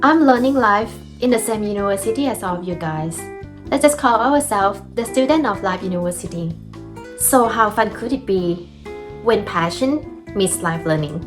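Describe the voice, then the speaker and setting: Young woman, Thai accent, chatty and upbeat vlog teacher, soft music underneath. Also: medium pitch, expressive, normal speed. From Thailand. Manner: cheerful, chatty, laughs often. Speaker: language teacher vlogger. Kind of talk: casual video lesson.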